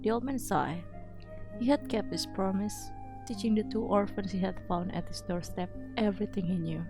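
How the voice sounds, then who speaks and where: A woman's voice: mid-range voice, moderate pace. A woman reading a story aloud as a narrator, in a dramatic storybook style. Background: music.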